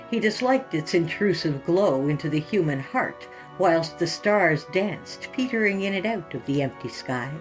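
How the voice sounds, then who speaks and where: A woman's voice: lowish voice, low-quality recording. A woman hosting and narrating scripted horror fiction in a theatrical, sinister storytelling manner.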